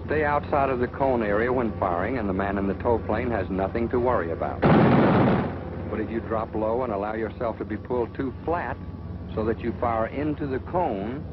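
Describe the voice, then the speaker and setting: A man's voice: lowish voice, normal speed, lightly acted. A man narrating a 1940s training film as a stern military flight instructor, authoritative, scolding and didactic. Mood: stern instructing. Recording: muffled noisy 1940s film soundtrack.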